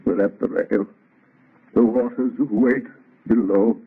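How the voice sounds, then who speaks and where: A man's voice: lowish voice, fairly even intonation, slow pace, creaky, slightly rough, exaggerated voice. An actor playing a sorrowful, tormented man-made monster with solemn, declamatory phrasing in an old radio drama. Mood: solemn, mournful. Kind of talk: 1930s radio drama acting. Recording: muffled, tinny old radio recording.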